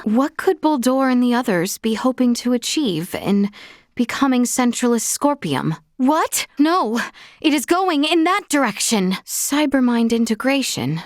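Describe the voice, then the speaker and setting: A woman's voice: a little high, no echo, clean studio sound. A woman voicing a formal, earnest, resolute young noblewoman in a video game, speaking precisely in courtly, old-fashioned phrases. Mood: urgent, defiant. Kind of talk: video game character dialogue.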